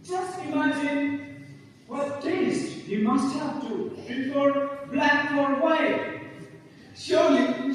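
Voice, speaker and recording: An amateur performer acting as a boisterous young village boy in a stage play, with a very high voice. The lines are declaimed slowly and stiffly, each phrase set apart. The sound is distant and swims in heavy echo. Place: large reverberant hall, recorded from afar. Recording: very echoey hall, distant mic, faint noise.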